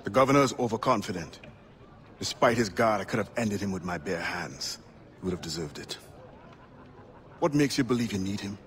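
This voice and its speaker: Guy doing a deep caribbean pirate assassin, dignified and formal, game cutscene, bit of background noise. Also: lively intonation, lightly acted. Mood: grim. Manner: formal, measured, composed. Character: steadfast, dignified former-slave pirate turned assassin. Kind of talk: dramatic video game acting.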